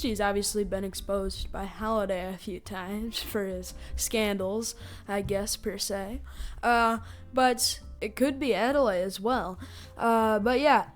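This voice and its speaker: A woman hosting a casual fan review, giving recap and reaction commentary. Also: medium pitch. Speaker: reviewer and superfan host. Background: music.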